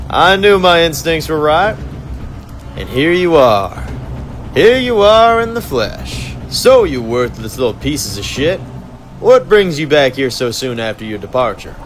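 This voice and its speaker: A man's voice: a little high, voice pushed up. A gloating, sadistic villain taunts his captive in a raised, mocking voice, as a man puts him on. He draws out his words with cruel amusement. Faint music plays behind. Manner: taunting, drawn-out mocking delivery.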